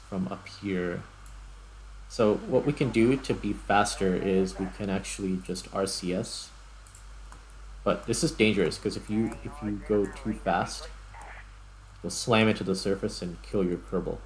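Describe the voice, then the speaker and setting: Male gaming host, low-pitched, chatty and thinking out loud in fragments, clearly noisy recording. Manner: thinks aloud in short fragments. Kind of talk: casual gameplay commentary. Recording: clear background noise.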